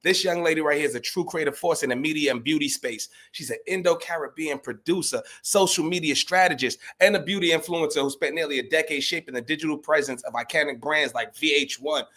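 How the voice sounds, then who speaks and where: A man hosting a podcast, hyping up his guest with loose, slangy energy. His voice sits a little high for a man, and he keeps calling out to the listeners. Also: quick pace, few pauses. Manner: energetic, slangy, addresses "y'all". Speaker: podcast host. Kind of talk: casual hype introduction.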